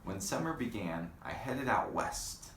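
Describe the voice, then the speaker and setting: A man teaching young children in a read-aloud lesson, speaking in simple, deliberate phrases and putting questions to them. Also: fairly low pitch, very animated, fairly bright tone. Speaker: elementary school teacher. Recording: echoey room with faint background noise.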